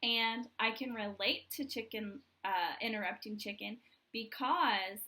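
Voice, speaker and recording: Female children's storybook reader. Bright, expressive, unhurried delivery. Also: fairly high pitch. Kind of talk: expressive children's read-aloud.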